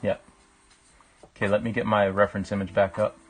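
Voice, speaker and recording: A man, chatting casually with livestream viewers in a reflective, halting way as he thinks aloud. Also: lowish voice, level tone. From Canada. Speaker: live-streaming painter. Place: small indoor room with a painting on the wall. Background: music.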